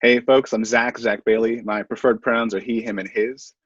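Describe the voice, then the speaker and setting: A young man, a graduate student, giving thoughtful interview answers in a halting way, pausing to pick his words. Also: mid-range voice, fairly even intonation, fairly bright tone, breathy edge. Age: young adult. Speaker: stage management graduate student. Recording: muffled, roomy interview sound.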